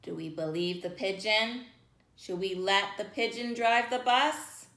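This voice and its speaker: A woman in her thirties leading an interactive read-aloud, putting questions to young children in an animated way. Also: mid-range voice, unhurried. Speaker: storytime reader for children. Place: indoors, small plain-walled room. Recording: echoey room.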